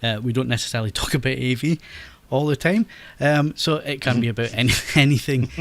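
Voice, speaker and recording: Man, Scottish accent, podcast host, casual chat, middle pitch, laughs a lot. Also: a little fast, no room sound. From Scotland. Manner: chatty, laughs often, stammers on restarts.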